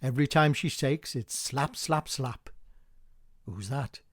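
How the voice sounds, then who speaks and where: A man narrating a poetic radio play in an expressive storytelling manner. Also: mid-range voice, takes it slowly, slightly rough, dry recording, studio quality. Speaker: amateur reader and narrator. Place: dry home recording.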